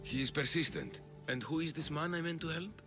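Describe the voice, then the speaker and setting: A man's voice: fairly high pitch, expressive, fairly quick, over music, close to an everyday voice. A man playing a grudging, scornful Athenian citizen in debt in a video game cutscene.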